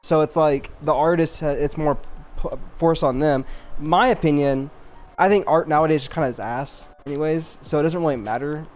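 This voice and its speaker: A man's voice: fairly high pitch, normal speed, a little mellow. A young man co-hosting a podcast in casual, slangy banter with some swearing. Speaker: podcast co-host.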